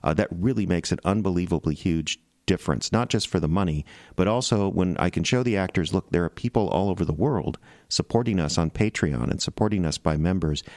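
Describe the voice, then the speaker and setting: An American man with a low voice addresses listeners as a podcast producer. He is conversational and earnest, feeling his way through his phrases. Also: fairly quick, few pauses, darkish tone, no room sound, clean studio sound. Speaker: podcast producer making an appeal. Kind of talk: casual direct address to listeners.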